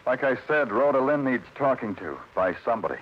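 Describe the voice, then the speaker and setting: An actor playing a world-weary New York police detective in an old radio crime drama, speaking and narrating tersely with an American accent. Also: male voice, mid-range voice, expressive, brisk, lightly acted. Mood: subdued, concerned. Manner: terse, hard-boiled, brooding delivery. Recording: dull old radio recording with prominent music.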